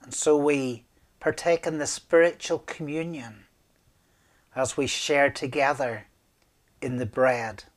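A man in his fifties, a minister speaking communion liturgy and prayers in a measured, solemn way with deliberate pauses. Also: mid-range voice, steady tone. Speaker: minister leading worship. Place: small room indoors, at a desk.

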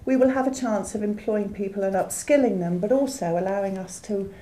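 A middle-aged woman with a soft-edged, mellow tone. She answers interview questions as an employer, in a considered, businesslike manner. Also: medium pitch, a little fast, a little hiss or hum, poor audio. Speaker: employer representative.

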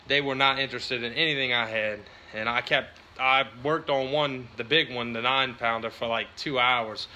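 A man's voice: medium pitch, bright, forceful tone. A man gives a casual, colloquial vlog talk at home, recorded at arm's length. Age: 30s. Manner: informal, colloquial. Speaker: fishing vlogger. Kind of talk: casual chat to camera. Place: indoors in a home living room. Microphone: phone or camera at arm's length.

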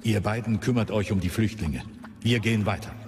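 An actor playing a commanding, composed Jedi general in a radio play, terse and authoritative. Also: male voice, fairly low pitch, a little fast, lightly acted. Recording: reverberant studio drama with music.

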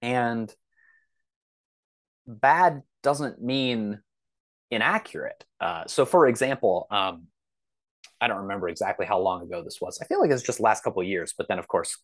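A man with a fairly low voice chats as an interview guest. He explains things discursively, wandering into examples and asides. The sound is dry and close, with little room to it. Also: normal speed, long pauses, dry recording. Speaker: podcast guest, science fiction author. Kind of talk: casual podcast interview talk, explaining.

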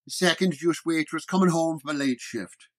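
A man voicing a gruff, hostile old-school New York police sergeant in an audio detective story, curt and sneering. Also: a little high, fairly quick, natural voice.

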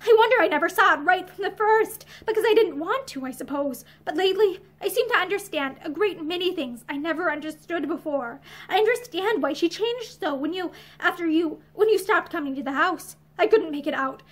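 Lady reader doing a high voiced young woman from a storybook, teary and pleading, brave and outspoken. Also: animated, fairly quick, few pauses, a bit bright. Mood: earnest, insistent. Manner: emotional, pleading, trembling delivery.

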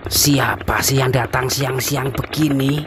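An actor playing a stern middle-aged father who scolds his daughter in an animated cartoon. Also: mid-range voice, takes it slowly, background noise, lightly acted. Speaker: father of Indah.

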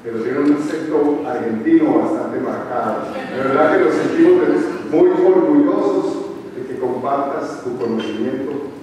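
A man's voice: medium pitch, measured pace, a crowd in the background. Man moderating in an echoey hall. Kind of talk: event moderation. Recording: echoey hall, distant mic.

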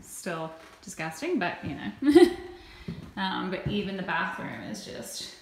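A woman's voice: on the low side, very animated, slow pace. A young woman, chatty and upbeat, vlogging a home renovation on a phone camera while laughing often. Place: empty house, indoors. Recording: echoey empty rooms, noisy.

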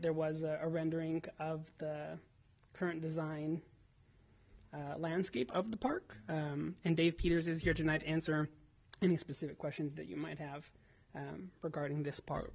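A city staff member giving a report to the council at a podium, full of ums and restarts. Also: male voice, a little high, creaky, a little mellow, husky. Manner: hesitant, many ums and restarts.

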